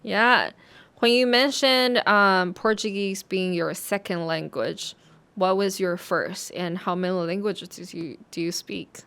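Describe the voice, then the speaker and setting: A woman's voice: on the low side, measured pace, smooth. A woman hosting a podcast interview, asking questions with many casual fillers. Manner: warm fillers, many "you know". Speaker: podcast host.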